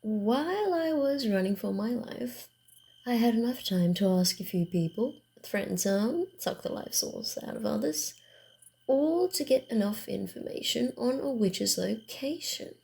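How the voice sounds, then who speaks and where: Woman playing a slow, mellow, teasing vampire woman. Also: on the high side, lively intonation, vocal fry. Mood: weary, wry. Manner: hesitant, halting, teasing, slightly flustered. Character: playful, proud female vampire wanderer on the run. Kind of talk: ASMR roleplay, acted one-sided dialogue.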